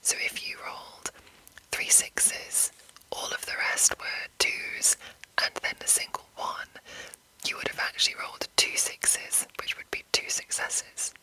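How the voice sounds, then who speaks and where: A woman speaks in a breathy, unvoiced whisper with a very bright, crisp edge. She explains things in a soft, measured way, as an ASMR host would, rambling a little without a script. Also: rough recording.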